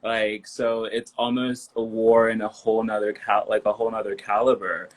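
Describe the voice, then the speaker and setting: A man's voice: fairly low pitch, flat delivery, moderate pace. Upbeat man in his thirties, from Liberia. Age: 30s. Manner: upbeat and giggly, many ums and likes. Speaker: guest. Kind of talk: casual interview chat.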